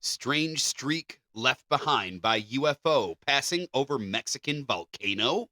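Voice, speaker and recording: Male podcast co-host with a bright, forward voice, mid pitch, casual delivery, dry close recording. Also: animated, a little slow, no echo, soft background music. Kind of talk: casual chat and reading stories aloud.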